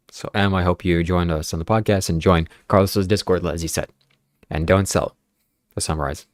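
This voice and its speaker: Ukrainian-born guy hosting a podcast, low voice, dry sound, reads out comments all thoughtful. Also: dry recording. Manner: earnest, reflective, reads viewer comments aloud. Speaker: podcast host. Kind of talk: casual livestream podcast chat.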